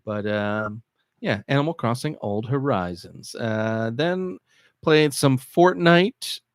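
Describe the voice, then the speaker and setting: Brief: male podcast co-host, smooth and clear, dry close mic, meandering casual talk. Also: mid-range voice, slow, dry recording. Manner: rambling, hesitant with many fillers. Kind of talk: casual chat.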